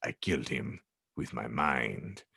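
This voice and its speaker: A man voicing a charismatic, sinister Spanish insurance salesman who claims to be a mystic killer, in slow, solemn boasts. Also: extremely low pitch, lively intonation, creaky, bright, forceful tone, husky, dry recording, clean studio sound, pitched below the performer's own voice, exaggerated voice. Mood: ominous boasting.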